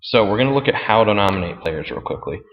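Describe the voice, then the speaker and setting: A man's voice: animated, fast talker, a little hiss or hum. A man giving a casual, plainspoken step-by-step tutorial as he walks through a screen. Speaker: fantasy football league commissioner. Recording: muffled, boomy room with long reverb.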